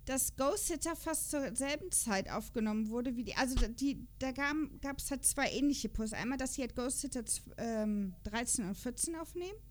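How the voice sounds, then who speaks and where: A woman with a German accent and a fairly high voice, co-hosting a podcast. She talks in a chatty, colloquial way, as in a relaxed conversation. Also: normal speed, hardly any pauses. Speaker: podcast co-host. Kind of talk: casual podcast chat.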